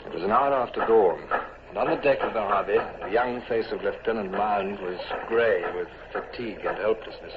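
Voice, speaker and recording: An actor playing a calm, resolute naval commodore who also narrates, in a measured command voice. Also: male voice, fairly low pitch, lightly acted. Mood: narrating. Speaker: narrator and lead. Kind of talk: 1940s radio drama acting. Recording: muffled old radio with clear hiss.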